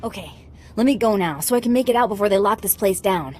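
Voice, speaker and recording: A woman's voice: medium pitch, expressive, fast talker, faint music behind, close to an everyday voice. A woman playing a wry, sardonic captive computer hacker desperate to get free in a video game. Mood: wry, eager. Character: captive young female slicer, wry and desperate to escape. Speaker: voice actor. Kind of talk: video game dialogue acting.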